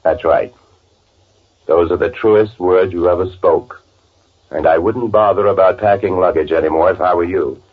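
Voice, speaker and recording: An actor playing a cold, menacing twin who narrates in a deadpan, sardonic old-time radio noir style. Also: male voice, deep voice, moderate pace, phone-quality sound. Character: cold, menacing twin brother, a man narrating his murder. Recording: band-limited old radio drama recording.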